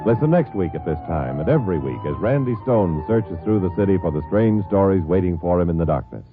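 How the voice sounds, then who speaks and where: A man's voice: low voice, expressive, brisk, loud music behind the voice. A man announcing scripted credits in the formal style of 1950s radio. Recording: tinny 1950s radio broadcast recording.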